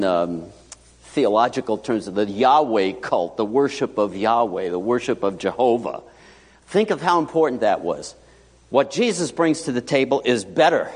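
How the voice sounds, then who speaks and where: A male pastor preaching a sermon in a church, animated and joking, with sudden loud and hushed asides. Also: mid-range voice. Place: church sanctuary. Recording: long hall reverb.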